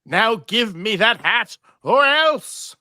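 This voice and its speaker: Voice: mid-range voice, expressive, slow, bright, forceful tone, dry recording, clean studio sound, full character voice. A performer voicing a scheming, nasty old male magician in a hammy, taunting cartoon table read. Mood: demanding.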